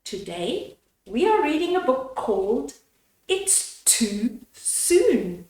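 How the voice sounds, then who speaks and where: A woman's voice: sits high, very animated, slow, a little mellow, echoey room. An older woman storyteller reading a bedtime book to young children and explaining the pictures in simple words. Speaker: storyteller, host of a children's read-aloud.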